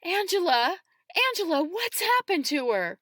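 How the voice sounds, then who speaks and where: A woman's voice: sits high, wildly swooping pitch, takes it slowly, sharp tone, no room sound, studio quality, voice pushed up, exaggerated voice. A woman voicing a shocked, frantic woman, urgent and crying out, in an audiobook. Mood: panicked.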